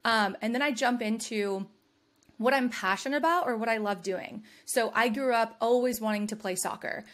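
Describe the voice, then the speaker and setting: A woman's voice: fairly high pitch, normal speed, fairly bright tone. A young adult woman from California hosting a podcast, giving advice in an upbeat, conversational way and laughing often. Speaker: podcast host. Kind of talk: casual advice chat.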